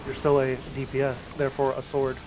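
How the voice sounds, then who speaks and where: A man with a mid-pitched voice chats casually about tactics as he plays with a group. Game music is clearly audible behind him. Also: monotone, a little fast, poor audio. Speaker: gamer in a group raid. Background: video game music.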